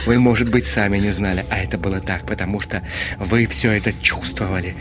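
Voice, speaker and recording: An actor playing a proud, irritable, tormented middle-aged gentleman in a radio play, agitated and accusatory, with emphatic repetitions. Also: on the low side, steady tone, a little fast, natural voice. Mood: accusing. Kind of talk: Russian radio play acting.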